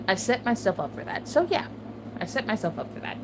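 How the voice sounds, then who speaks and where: A woman's voice: mid-range voice, brisk. A woman vlogger chatting casually to her viewers, swearing often and laughing between remarks. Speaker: channel host. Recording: steady background noise.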